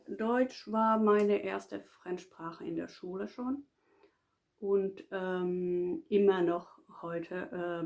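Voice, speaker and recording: A woman's voice: on the low side, darkish tone. A woman with a Finnish accent, a native Finnish speaker, talking casually off the cuff as a polyglot vlogger, hesitant and searching for words as she goes.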